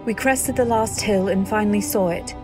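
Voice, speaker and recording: An actress playing a wistful, refined young wife narrating her memories in an old-time radio drama, measured and literary. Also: medium pitch, music in the background, close to an everyday voice. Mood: tense narration.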